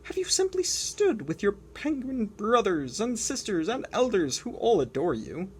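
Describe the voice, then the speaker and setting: A woman voicing a wise, gentle old walrus with a grand, soothing, poetic way of speaking, in a picture book read-aloud. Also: mid-range voice, sing-song, unhurried, slight background noise, pitched above the performer's own voice.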